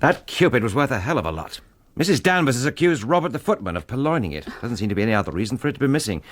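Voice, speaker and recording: A man's voice: medium pitch, very animated, rapid-fire, no echo. A man playing a brooding, irritable husband in a radio drama, curt and impatient, with an upper-class English accent. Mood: irritated.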